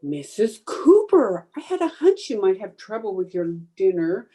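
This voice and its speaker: A woman playing an excitable, scheming housewife in an old-time radio sitcom, with a theatrical, gushing manner. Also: medium pitch, normal speed. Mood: relieved, curious. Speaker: amateur radio player.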